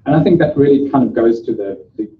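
A man's voice: mid-range voice, expressive, fast talker. A man hosting an event, introducing speakers at a podium with easygoing, light humour. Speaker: master of ceremonies. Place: conference room, indoors. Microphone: podium mic, far camera. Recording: echoey room, dull sound.

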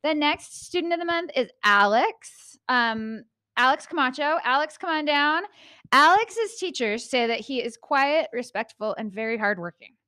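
A friendly woman with a slightly high voice presents school awards on a handheld mic. The sound is dry and close, from a small indoor studio. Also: animated, normal speed, bright, forceful tone, dry recording. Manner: friendly, with fillers. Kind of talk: school announcements.

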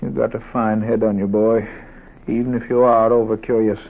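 An actor playing a gruff, grieving, weary middle-aged doctor in a 1940s radio drama, with a gravelly, husky voice. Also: male voice, fairly low pitch, little pitch movement, moderate pace. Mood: weary, amused. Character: gruff, grieving older Southern doctor and surgery teacher. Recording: tinny old 1940s radio recording.

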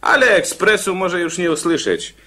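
A man acting a gruff, irritable prisoner on death row in a Polish radio play, grumbling sarcastically in colloquial speech. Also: high-pitched, moderate pace, sharp tone. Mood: irritated, mocking. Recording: noisy radio play recording with clicks.

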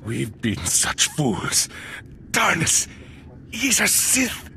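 An actor playing a mortally wounded, remorseful technician in a video game, weak, pained and short of breath. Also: male voice, a little high, huge pitch swings, drawn-out words, creaky, sharp tone, a little hiss or hum, full character voice. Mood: relieved, anguished. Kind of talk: video game cutscene acting.

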